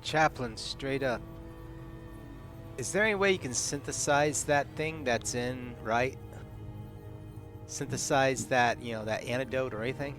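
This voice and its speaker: A man's voice: medium pitch, a little slow, pauses often, fairly bright tone. A man casually role-playing a character in a tabletop game. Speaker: tabletop role-play player. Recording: music playing behind the voice. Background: music.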